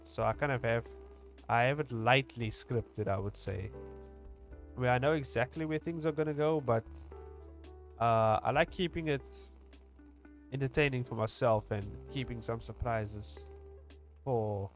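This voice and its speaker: A man's voice: medium pitch, measured pace, long pauses, faint music behind. A young South African man with a South African English accent, chatting casually on a livestream while he draws, rambling with lots of fillers and restarts. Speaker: webcomic streamer.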